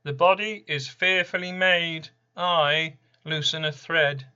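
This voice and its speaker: A man voicing a smug, casual Horseman of the Apocalypse in a poem, speaking in deadpan, taunting aphorisms. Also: fairly high pitch, takes it slowly, smooth, lo-fi sound, pitched above the performer's own voice, heavily acted. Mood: sly, deadpan. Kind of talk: dramatic poetry monologue.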